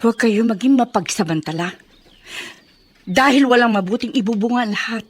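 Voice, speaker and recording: A Filipino actress playing a wealthy, wronged and remorseful matron in a radio drama, with emphatic delivery. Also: female voice, mid-range voice, natural voice. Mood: scornful fury. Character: wealthy matronly woman, wronged, fierce yet deeply remorseful.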